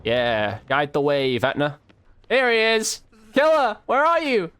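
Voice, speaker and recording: A man's voice: a little high, wildly swooping pitch, measured pace, a bit bright, clear, resonant tone. A man hosting a gaming video, giving chatty, informal commentary on his play and addressing his viewers directly. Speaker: gaming video host and player. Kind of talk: casual gameplay commentary.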